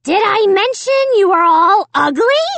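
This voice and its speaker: A woman voicing a malicious male ventriloquist dummy in a storybook, raspy, harsh and taunting with rude insults. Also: extremely high pitch, expressive, measured pace, fairly bright tone, dry recording, voice pushed up, heavily acted. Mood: mocking, harsh.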